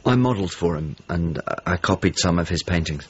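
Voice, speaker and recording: An actor playing a worried, loyal friend and weary traveller in a period radio drama, speaking haltingly and thoughtfully. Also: male voice, sits low, animated. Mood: troubled, searching. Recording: dull lo-fi audio with clear noise.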